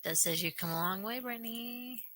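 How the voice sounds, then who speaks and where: A woman's voice: a little high, normal speed, pushed and bright. A woman co-hosting a podcast, reading out viewer comments and chatting in a friendly way. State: dry throat, burning nose. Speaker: podcast co-host. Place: small home studio. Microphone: studio mic on boom arm.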